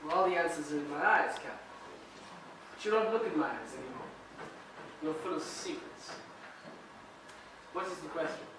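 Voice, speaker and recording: A man's voice: a little high, animated, noisy background, close to an everyday voice. A man playing an earnest young immigrant. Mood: gentle. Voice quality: halting immigrant English, measured phrasing. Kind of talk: stage play acting. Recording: echoey room, voice from far off.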